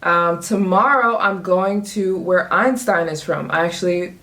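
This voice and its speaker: A woman's voice: on the low side, unhurried, bright, forceful tone. A woman in her twenties vlogging casually to camera, bubbly and joking, laughing often and jumping between topics. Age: 20s. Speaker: travel vlogger.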